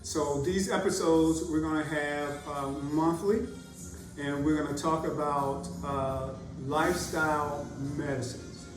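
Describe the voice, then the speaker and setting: A man's voice: on the high side, steady tone, music in the background. A man hosting a casual podcast, his talk full of "uh" fillers. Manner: halting, many "uh" fillers. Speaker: podcast host. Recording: roomy sound from a distant mic.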